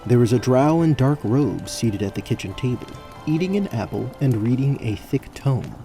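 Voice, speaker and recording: A man's voice: mid-range voice, expressive, normal speed, music in the background. A man narrating a scripted fantasy story as the narrator of an audio drama.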